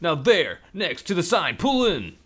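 A man's voice: on the high side, huge pitch swings, measured pace, a bit bright, voice pushed up, heavily acted. A man doing a curt masked navigator barking directions. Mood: commanding. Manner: clipped commands. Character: masked male getaway navigator, curt and bossy.

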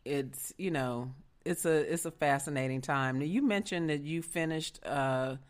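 A woman's voice: low voice, measured pace. A woman, from Memphis, hosting an interview in an earnest, enthusiastic way. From Memphis, Tennessee, US. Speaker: podcast host. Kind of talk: conversational interview.

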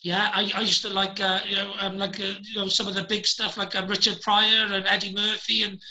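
A man's voice: high voice, monotone, fairly quick, bright, forceful tone, poor audio. A Welsh man hosting a casual chat over a video call, rambling with lots of fillers and restarts. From Wales. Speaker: podcast host.

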